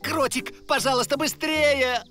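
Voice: sits high, measured pace, bright, forceful tone, faint music behind. An actor voicing a childlike male panda in a children's cartoon, with a dramatic, plaintive way of talking. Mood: needy, demanding. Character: young male panda, childlike, melodramatic and worried. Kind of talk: dubbed children's animated cartoon.

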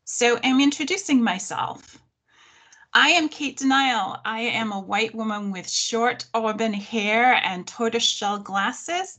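A middle-aged woman from the North of England presents a workshop over a video call, her accent softened after decades in America. She speaks clearly and at a measured pace, friendly with touches of humor, into a webcam in her living room. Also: mid-range voice. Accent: mild Northern English, softened by thirty years in the US. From Sheffield, North of England. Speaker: history professor presenting a workshop. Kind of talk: online presentation.

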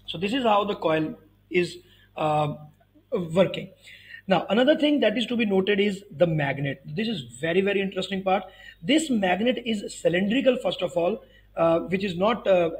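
A man in his thirties giving an explanatory physics tutorial, emphatically repeating key phrases. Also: high-pitched. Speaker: physics teacher.

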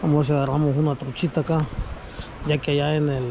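A chatty man narrates his fishing trip to his viewers, talking to them as friends. His voice sits a little high. The body-worn camera picks up clear wind and outdoor noise around him. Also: fast, rough recording. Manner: chatty, addresses viewers as friends, excited when fish bite. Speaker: fishing vlogger. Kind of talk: casual outdoor commentary to viewers. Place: outdoors on a frozen reservoir and by a creek. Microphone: action camera worn on the body.

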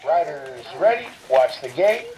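A man's voice: a little high, sing-song, unhurried, recorded from a distance, heavy background noise. A man giving formulaic start-gate calls, picked up by a camera outdoors. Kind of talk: repeated formulaic race start call. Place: played outdoors at a BMX start ramp. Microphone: camera mic a few metres away.

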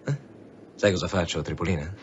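An actor playing a sardonic, insistent police commissioner in an Italian radio drama, with sarcastic repetitions and veiled threats. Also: male voice, low-pitched, brisk, natural voice. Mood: sardonic, menacing. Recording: dull sound with faint background noise.

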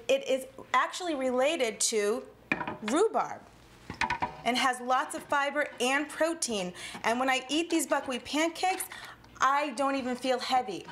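A woman's voice: high voice, fairly bright tone, a little hiss or hum. A woman in her thirties hosting a TV cooking show in a studio kitchen, explaining each step with upbeat rhetorical questions. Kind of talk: scripted TV cooking demonstration. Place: home-style studio kitchen, indoors.

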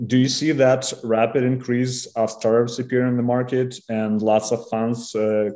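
A man's voice: mid-range voice, mellow. A man in his thirties hosting a video call interview, asking short hesitant questions with repeated words. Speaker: host. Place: room at a desk. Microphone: laptop on video call. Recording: roomy echo.

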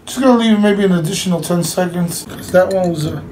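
High-pitched middle-aged man, casual vlogger. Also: fast. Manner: informal, plain-spoken. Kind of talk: casual hands-on demonstration to camera. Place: indoors, small room with cloth backdrop. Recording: clearly noisy home recording.

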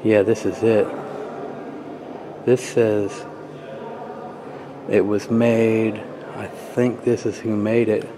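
Slow-talking low-voiced man filming a tour. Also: flat delivery. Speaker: amateur videographer and music fan. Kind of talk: casual handheld video tour commentary. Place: inside a church beside the organ. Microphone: handheld camera, operator behind it. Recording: clear background noise, handheld camera audio.

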